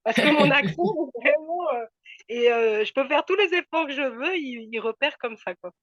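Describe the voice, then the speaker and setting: A woman's voice: sits high, moderate pace, lo-fi sound. A woman from France talking casually as a guest on a video call, hesitant, with many fillers and restarts. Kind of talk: casual conversational interview.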